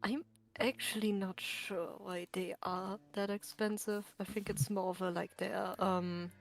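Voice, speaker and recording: A woman's voice: medium pitch, level tone, a little slow, creaky, soft background music. Woman chatting casually over a game stream. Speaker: co-commentator on a game livestream. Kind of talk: casual game commentary chat.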